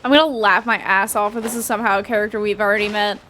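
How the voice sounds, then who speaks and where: A woman's voice: mid-range voice, steady tone, racing, a bit bright, a little hiss or hum, poor audio. A woman game streamer giving casual commentary, thinking aloud in an informal way. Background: faint game music.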